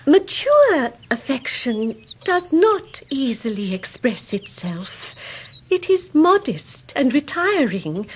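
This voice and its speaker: A prim, sentimental maiden aunt in a radio drama. Her voice is very high and fluttery, with big swoops through formal, precise phrases. She sounds genteel and wistful. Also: a little hiss or hum, heavily acted.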